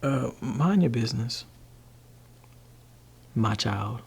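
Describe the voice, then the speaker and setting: A man voicing a gentle, laid-back father in an ASMR roleplay, talking softly and whispering to his teenage child in a teasing way. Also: expressive, slow, gravelly, breathy edge, full character voice. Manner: soft-spoken, shifting between low voice and whisper. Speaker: ASMR creator.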